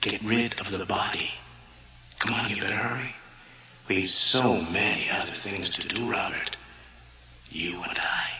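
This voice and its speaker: Casting: malevolent ghost twin, low sharp voice, mocking, sliding into hissed whispers. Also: male voice, steady tone, heavily acted. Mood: smug, urgent. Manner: mocking, drawn-out, often hissing into whispers. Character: sinister, taunting spirit of a dead male twin. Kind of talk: radio horror drama acting. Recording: echoey, slightly dull radio drama sound.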